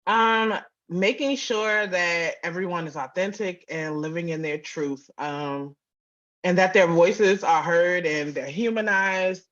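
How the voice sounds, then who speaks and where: A woman's voice: on the low side, slow, a bit bright, muffled recording. A woman giving casual, informal interview answers as a writer and producer, often acting out bits of dialogue as she talks. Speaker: interview guest, writer and audio drama producer.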